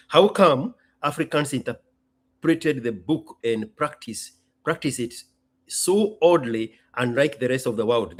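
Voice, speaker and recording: Guy from kenya hosting a casual q&a talk, husky voice, really swoops up and down, emphatic and repeats stuff. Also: mid-range voice. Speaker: host. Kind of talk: casual solo talk to an audience.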